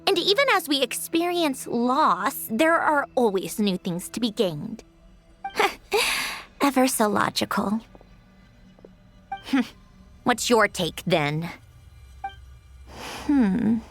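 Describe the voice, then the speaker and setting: An actress playing a weary, sardonic female inventor in a video game, speaking slowly with a dry, sighing delivery. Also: a little high, heavily acted. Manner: dry, sighing, slow and reflective. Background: soft game music.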